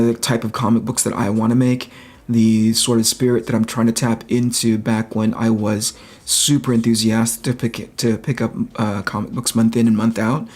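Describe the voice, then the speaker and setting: A man with a relaxing, soft-edged voice chats casually on a livestream while he works, thinking aloud and searching for his words. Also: on the low side, husky. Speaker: livestream host, comic book artist.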